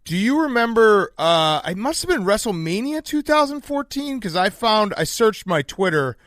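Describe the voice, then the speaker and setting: A man with a fairly high voice hosts a casual sports podcast. The sound is very dry, and he asks questions informally, circling a little before he lands them. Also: very animated, moderate pace, fairly bright tone, no echo. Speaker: podcast host interviewing.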